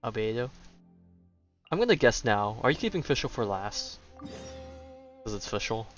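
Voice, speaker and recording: A man's voice: fairly low pitch, very animated, a little slow, a bit bright, dull audio, faint music behind. A man doing casual commentary as he plays a game, chatting and thinking aloud as a streamer. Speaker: gaming streamer.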